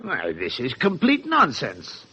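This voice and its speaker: A man's voice: medium pitch, wildly swooping pitch, slow pace, sharp tone, husky, full character voice. An actor playing an evasive, stiffly proper businessman in an old radio drama, speaking formally and guardedly. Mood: defensive. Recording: dull vintage radio recording.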